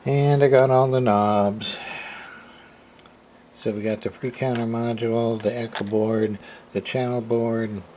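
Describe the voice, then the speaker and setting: Slow-talking man, noisy amateur recording. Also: mid-range voice, steady tone, darkish tone. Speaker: hobbyist radio repairer, video host. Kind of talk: casual unscripted show-and-tell of equipment.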